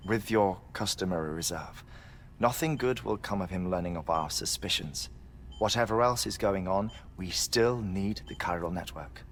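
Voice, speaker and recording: An actor playing an earnest scientist in a game cutscene, speaking in a measured, scholarly way. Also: male voice, low-pitched, a little hiss or hum. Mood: cautious. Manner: measured, scholarly, precise, lecturing. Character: brainy, earnest male scientist lecturing on theory. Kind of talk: video game cutscene acting. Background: game score.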